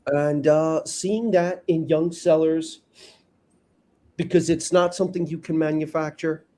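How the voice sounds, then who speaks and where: A man's voice: fairly high pitch, unhurried, slight background noise. A man with a New York accent talking about sales in a casual panel chat, blunt and opinionated. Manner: blunt, opinionated, animated storytelling. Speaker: sales trainer guest.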